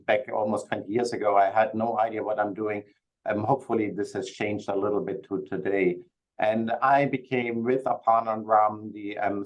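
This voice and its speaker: A man's voice: on the low side, poor audio. German-accented man presenting a webinar. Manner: polite, slightly halting. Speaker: presenter, registry committee chair.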